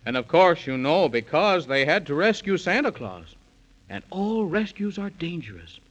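A man's voice: on the high side, very animated, moderate pace, fairly bright tone. Theatrical male storyteller, vintage muffled radio. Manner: theatrical, singsong verse delivery. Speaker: narrator. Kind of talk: rhyming 1930s radio bedtime storytelling. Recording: dull lo-fi 1930s radio recording.

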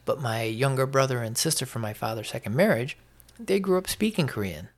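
An American man hosts a solo opinion podcast in a voice full of gravel and fry. His delivery is unscripted and halting, and he leans hard on repeated phrases to drive his opinions home. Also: mid-range voice, lively intonation. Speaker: podcast host.

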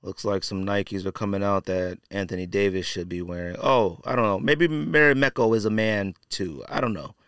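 Male podcast host, clear smooth mid-range voice, dry close sound, slangy and opinionated off-the-cuff commentary. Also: lowish voice, normal speed, hardly any pauses, a bit bright, dry recording. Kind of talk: casual solo podcast commentary.

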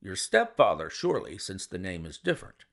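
A cool, shrewd detective who holds forth in a measured, precise voice with a gravelly texture and lively inflection, as a male narrator plays him. It is an audiobook. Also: deep voice, pitched below the performer's own voice, exaggerated voice. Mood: lecturing.

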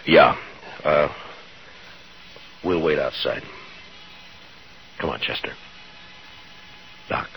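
Very deep-voiced, weary frontier marshal. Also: male voice, gravelly, fairly bright tone, a little hiss or hum, full character voice, rough recording. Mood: grave, sympathetic. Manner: measured, grave, hesitant pauses. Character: weary, fair-minded frontier marshal of middle years. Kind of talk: 1950s radio western acting. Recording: vintage 1950s radio drama recording.

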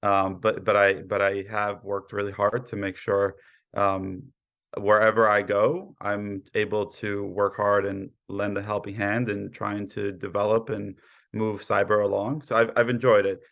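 American male podcast guest, thirties, low gravelly voice, modest conversational explainer. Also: little pitch movement, unhurried, a bit bright. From Brooklyn, New York, born in Poland. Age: 30s. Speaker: podcast guest, cyber insurance professional. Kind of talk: conversational interview answers.

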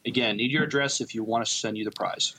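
A man's voice: medium pitch, fairly even intonation, fast talker, sharp tone, rough recording. A man co-hosting a podcast in casual discussion. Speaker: podcast co-host.

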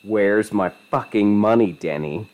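A man's voice: fairly low pitch, a little slow, natural voice. Man acting out a menacing, furious drug dealer. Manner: grumbling, shouting threats, swearing.

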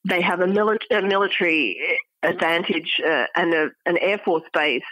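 Woman, lowish voice, academic interviewee, articulate explanations, pauses mid-phrase, dry close sound. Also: a bit bright, no echo, poor audio. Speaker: interviewed academic expert, archaeology professor.

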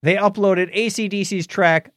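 A man's voice: high voice, steady tone, normal speed, fairly bright tone, dry recording, studio quality. A man hosting a podcast, casually bantering and reading out odd news stories with jokey asides. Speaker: podcast host.

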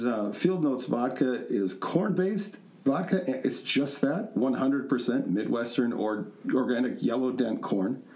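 A man's voice: medium pitch, mellow. Joking male podcast host in echoey room. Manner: joking, self-mocking. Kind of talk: casual chatty hosting. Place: echoey indoor studio room.

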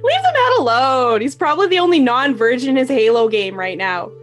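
A woman's voice: high voice, sing-song, a little fast, faint music behind. A woman narrating with brash, sarcastic comedic asides. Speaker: narrator and wisecracking commentator.

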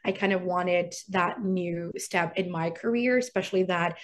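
A young woman originally from Iraq talks as a podcast guest, relaxed and chatty. She laughs often and leans on fillers as she tells her story. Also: fairly low pitch, normal speed, slight background noise. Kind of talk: casual interview chat.

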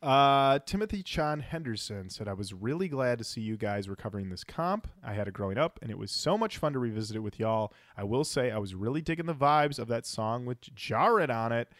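A man with a medium-pitched voice co-hosts a podcast. He talks casually, slipping wry asides between listener comments he reads aloud. The sound is dry, with hardly any room. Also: animated, a little fast, hardly any pauses, fairly bright tone, dry recording. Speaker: podcast co-host. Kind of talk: casual podcast chat, reading out listener feedback.

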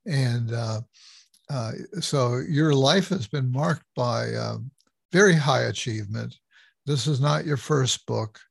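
Gravelly, husky man hosting an interview. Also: medium pitch, slow pace, no room sound. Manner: hesitant, many fillers, appreciative. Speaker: interview host. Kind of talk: interview questions.

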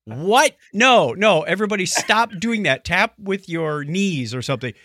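A man hosting a podcast in casual chat, telling stories in an animated way and acting out what others said. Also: sits high, moderate pace, dry recording, studio quality. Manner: animated storytelling, acts out quotes. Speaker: podcast host.

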